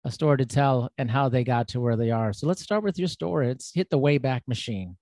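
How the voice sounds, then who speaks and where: A man's voice: mid-range voice, fairly quick, darkish tone, no echo. Give me a laid-back male podcast host with a dry, close sound. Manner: laid-back, friendly, says "man" a lot. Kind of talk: casual interview chat.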